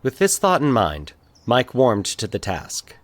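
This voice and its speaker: A man from Colorado with an American accent, narrating a scripted short story in a measured, literary way. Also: mid-range voice, wildly swooping pitch. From Colorado, United States. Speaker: fiction podcast narrator.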